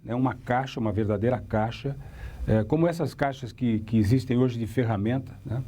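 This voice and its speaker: A man's voice: medium pitch, mellow. An older man with a Brazilian accent, a veteran radio reporter reminiscing in an interview.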